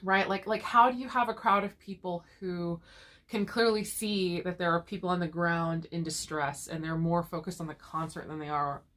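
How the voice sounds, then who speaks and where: A woman's voice: lowish voice, steady tone, moderate pace, a bit bright. A woman co-hosting a casual couple chat, talking through a lingering cough with a cough drop in her mouth, laughing often and restarting her sentences. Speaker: co-host of couple chat channel.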